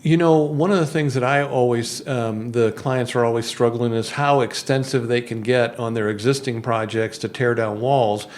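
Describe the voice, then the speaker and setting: Male broker hosting a radio show, halting and rambling, average pitch. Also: fairly even intonation, a little mellow, slight background noise. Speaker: real estate broker and radio host. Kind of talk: casual radio interview. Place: radio studio.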